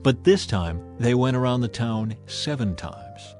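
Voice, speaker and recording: A man's voice: medium pitch, lively intonation, unhurried, darkish tone. Man narrating solemn scripture over music. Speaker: narrator of a dramatized audio Bible. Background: background music.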